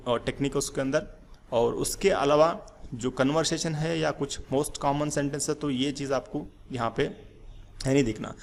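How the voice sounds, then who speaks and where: A man's voice: medium pitch, creaky, a little hiss or hum. A man teaching English in a rambling, filler-heavy way, walking through slides. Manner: rambling with many fillers and restarts. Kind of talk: slide-based lesson.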